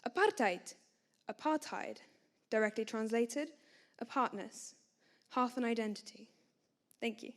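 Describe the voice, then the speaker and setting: A woman from South Africa reciting her own poem calmly at a student event. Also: on the high side, measured pace, long pauses. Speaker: student poet.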